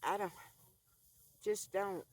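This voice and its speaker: Slow-talking older woman. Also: mid-range voice, steady tone. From rural Appalachian North Carolina. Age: 70s. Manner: earnest, soft-spoken, halting with restarts. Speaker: vlogger. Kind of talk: reflective monologue to camera. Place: outdoors by a fire pit.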